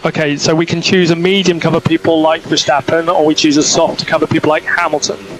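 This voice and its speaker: A man's voice: on the high side, little pitch movement, fast talker. A man working as a race engineer on team radio, giving clipped instructions and repeating each one. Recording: noisy team radio, vehicle noise.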